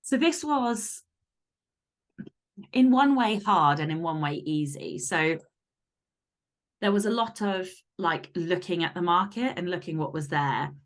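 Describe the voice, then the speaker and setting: A woman with a moderately low voice talks as a guest author in a relaxed interview format. She is candid and informal, spelling out her thinking in detail as she goes. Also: measured pace, pauses often. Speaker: podcast guest, fiction author. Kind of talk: casual interview chat.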